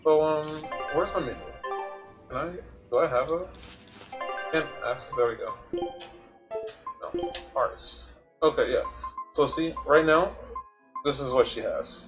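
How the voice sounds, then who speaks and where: A man's voice: fairly high pitch, measured pace, pauses often, lo-fi sound, music in the background. A man doing casual gameplay commentary as a streamer, thinking aloud and explaining things to himself. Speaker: video game streamer.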